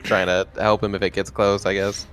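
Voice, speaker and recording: A man chats casually as a player in a tabletop roleplaying session. His voice sits a little low. There is quiet music behind him. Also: little pitch movement, fairly quick. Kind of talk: casual game-table chat.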